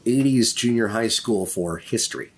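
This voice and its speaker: A game master narrating an improvised tabletop game in an informal, halting, jokey way, with music behind the voice. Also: mid-range voice, a little hiss or hum. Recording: background music under voice.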